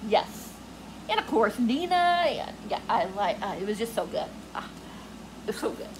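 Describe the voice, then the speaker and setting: A woman's voice: mid-range voice, big pitch swings, normal speed, noisy background. A woman book vlogger chatting casually to viewers, gushing and rambling as she searches for words.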